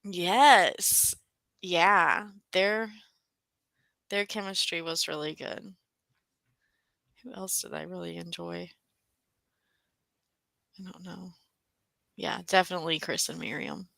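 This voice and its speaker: Bright-voiced woman chatting about books. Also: on the low side, fairly even intonation, slow pace, gravelly, pauses often, no echo, studio quality. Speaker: book discussion co-host. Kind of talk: casual book chat.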